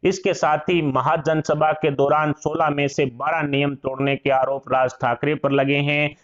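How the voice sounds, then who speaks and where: A man's voice: a little high, flat delivery, normal speed, dull audio. A man reads scripted political news as a voice-over narrator.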